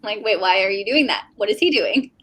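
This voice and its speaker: A woman's voice: mid-range voice, animated, brisk, fairly bright tone. A woman co-hosting an informal chat about books, recorded on a call with faint noise behind her. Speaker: co-host of a book readalong livestream.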